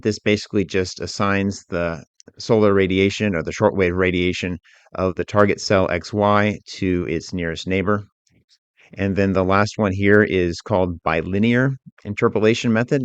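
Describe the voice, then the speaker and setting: A man with a low voice explains software as an instructor would, one step after another. His delivery is informal and methodical. The recording is dry, with very little room sound around the voice. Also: clear, resonant tone, no echo, muffled recording. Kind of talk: software tutorial narration, explanatory.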